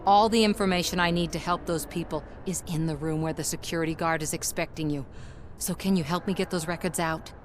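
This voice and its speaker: Lady acting stubborn activist woman in a game, lowish voice, earnest but wry, bg noise. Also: steady tone, brisk, close to an everyday voice. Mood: earnest, urgent. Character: determined, wry female activist snooping on a corporation.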